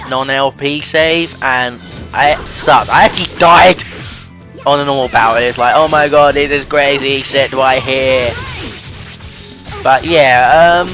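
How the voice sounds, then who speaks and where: A young man hosting a let's play with casual, self-deprecating game commentary. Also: a little high. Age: young adult. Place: voice-over with game music behind.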